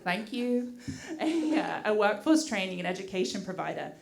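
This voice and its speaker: A woman's voice: medium pitch, sing-song, normal speed, vocal fry, a crowd in the background. A woman who grew up in the UK and speaks with a mixed British accent, addressing a community meeting as director of community affairs, polite and thankful, with many "uh" fillers. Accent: British with some American mix. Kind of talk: prepared public remarks at a community meeting.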